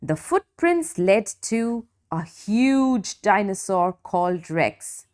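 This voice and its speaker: A woman reading a children's story expressively, with deliberate dramatic emphasis for young listeners. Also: medium pitch, sing-song, darkish tone. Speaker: storybook reader.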